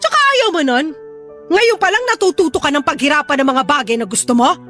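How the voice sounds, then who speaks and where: A woman's voice: high-pitched, very animated, quick pace, fairly bright tone, faint music behind. A woman playing a hot-tempered, nagging middle-aged Filipino mother in a melodramatic audio drama, with a scolding, exclamatory delivery and a Filipino accent. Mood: angry, scolding.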